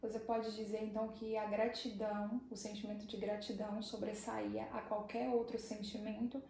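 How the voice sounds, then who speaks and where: A woman's voice: mid-range voice, little pitch movement, moderate pace, vocal fry, dark tone. A woman, asking questions as an interviewer. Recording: very echoey, distant, faint background noise.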